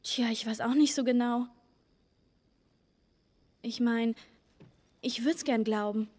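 A teenage girl surviving in a video game drama. Her voice is high and she speaks very slowly, with gentle, hesitant pauses. She is plain-spoken and caring. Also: steady tone. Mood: reassuring, candid. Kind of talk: German video game dubbing, naturalistic dialogue.

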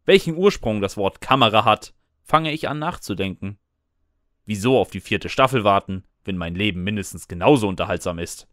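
A man's voice: on the low side, fairly bright tone, dry recording, clean studio sound. A man playing a sarcastic student who narrates his own chaotic life in scripted comic first person. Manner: wry, sarcastic. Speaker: narrator and lead character.

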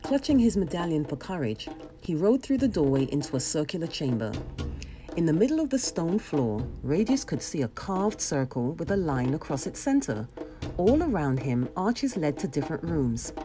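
A woman reading a children's storybook aloud in an expressive narrating style. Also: sits low, normal speed, music in the background.

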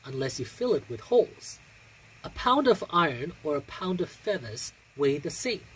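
An androgynous young adult reads poetry aloud in a very husky, breathy voice. The delivery is theatrical and declamatory, with lively swings in pitch and deliberate pauses. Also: poor audio. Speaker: poetry reader. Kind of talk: dramatic poetry recitation.

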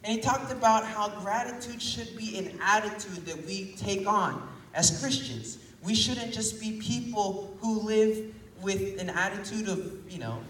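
A young man preaching a casual sermon in a small church, his voice hoarse from illness, recorded from the pews. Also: high voice, unhurried, slight background noise. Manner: relaxed and conversational, rising with emphasis. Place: small church hall with congregation. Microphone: phone recording from the pews. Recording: echoey church hall from far off.

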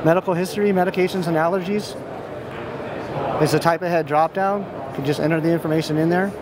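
A man's voice: a little high, fairly even intonation, fast. An American man in his thirties giving an informal product demo, explaining step by step in a matter-of-fact way. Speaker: software company representative giving a product demonstration. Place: large indoor expo hall. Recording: noisy busy trade show floor. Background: clear ambient hall noise.

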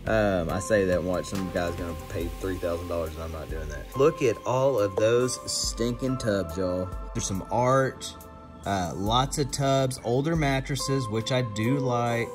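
A man's voice: lowish voice, measured pace, music in the background. A man giving casual, chatty vlog commentary, thinking aloud in a folksy way. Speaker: vlogger buying storage units at auction. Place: partly inside a truck, hand-held filming of a laptop screen.

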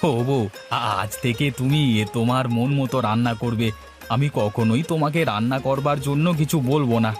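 A man voicing a fretful, food-loving village husband in a cartoon, with an exclamatory, stammering, drawn-out delivery. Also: medium pitch, lightly acted. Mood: gleeful. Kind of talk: cartoon dialogue acting. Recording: music bed behind voice.

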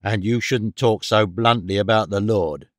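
A man voicing a gruff, domineering, bigoted father in an audiobook, curt and commanding. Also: lowish voice, normal speed, a bit bright, no echo, clean studio sound, lightly acted. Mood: angry, scornful. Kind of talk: audiobook character dialogue.

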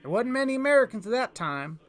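A man voicing a folksy, patriotic frontier man making a loud, rousing speech to a crowd in a storybook. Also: very high voice, very animated, fast, faint music behind, pitched above the performer's own voice, heavily acted. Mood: rousing, defiant. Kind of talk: public speech in a storybook reading.

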